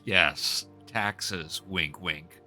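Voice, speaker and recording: A man's voice: low voice, extremely slow, over music. A man giving casual, dry and wry commentary on a live game stream.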